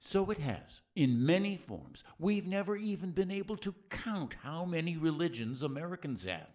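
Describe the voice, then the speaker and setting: A man's voice: fairly high pitch, very animated, normal speed, darkish tone, no room sound, clean studio sound. A man playing a folksy, earnest narrator in an audio play, speaking in an oratorical, theatrical style. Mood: earnest, declamatory. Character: folksy, earnest male narrator musing on his country.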